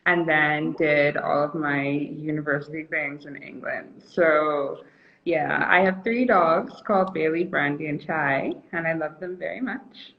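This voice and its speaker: A woman chats in a friendly way, with a fair number of ums. Her voice sits fairly low for a woman, and the phone audio is muffled and lo-fi. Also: unhurried. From Bahamas. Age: 20s. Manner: friendly and chatty, lots of ums. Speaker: guest. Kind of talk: casual interview chat. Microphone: phone, joining a live stream remotely.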